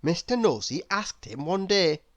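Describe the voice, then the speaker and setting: A woman with a slightly low voice narrates a children's read-aloud. Her delivery is slow and expressive, with very wide swings of intonation, as she addresses the listener directly. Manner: expressive, asks the listener questions. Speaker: storyteller.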